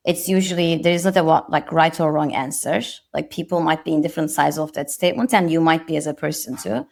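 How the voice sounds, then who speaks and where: A woman's voice: low-pitched, fast, vocal fry, a little mellow. A woman in her thirties from Turkey with a Turkish accent, a guest on a podcast interview, speaking reflectively and modestly with frequent restarts.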